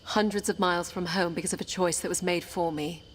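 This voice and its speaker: A young blind princess on the road, acted by a woman, speaks in a slightly low voice. She is calm, earnest and determined, in the manner of an audio adventure game, with music behind her. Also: brisk, lightly acted. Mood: earnest, resolute.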